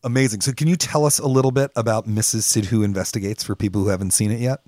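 A man's voice: on the low side, steady tone, quick pace, darkish tone, no room sound. A man presenting a podcast in an upbeat, conversational style on a close mic. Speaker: podcast host.

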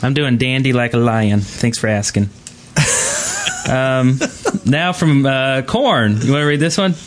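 A man's voice: medium pitch, measured pace, slight background noise. A man co-hosting a podcast in casual group chat. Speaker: podcast co-host.

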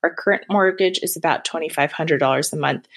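Woman in her 30s, caller, low voice, matter-of-fact, reading a prepared question. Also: a little fast.

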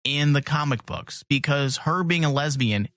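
A man's voice: fairly high pitch, moderate pace, fairly bright tone, dry recording, clean studio sound. A man chatting casually and opinionatedly as a fan podcast host, blunt and swearing freely.

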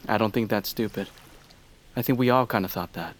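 An actor playing a steady, reassuring doctor in a naturalistic audio drama, speaking in brief, understated replies. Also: male voice, on the low side, a little fast, natural voice. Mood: subdued.

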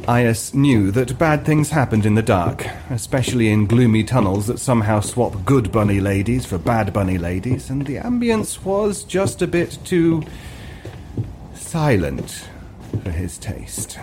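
A man narrates a story with a very animated, mid-pitched voice. His delivery is scripted and theatrical with a comic touch, and ambient effects sit behind him. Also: measured pace, noisy background. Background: ambient sound effects.

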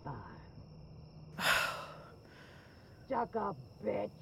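A woman's voice: medium pitch, animated, very slow, creaky, full character voice. An actress playing a weary, offhand female sex worker working a truck stop in an audio drama. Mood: offhand, curt. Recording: very noisy, clicking truck-stop ambience.

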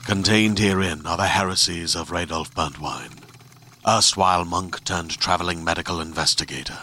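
A grim heretical monk turned investigator, played by a man, narrating a drama trailer. The voice is deep, raspy and forceful, with portentous, dramatic delivery. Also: normal speed.

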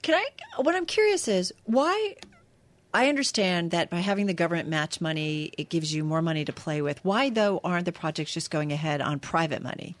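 A woman co-hosting a business radio show, asking quick, curious questions and laughing easily. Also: on the low side, expressive. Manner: quick, inquisitive, laughs often. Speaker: radio co-host.

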